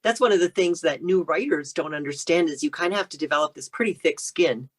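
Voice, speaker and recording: A woman's voice: low-pitched, fast, slightly rough. An American woman speaking as a guest novelist in a casual interview, conversational and explanatory.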